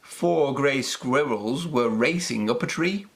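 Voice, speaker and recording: A woman narrating a children's storybook aloud in a deliberate, expressive manner. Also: a bass voice.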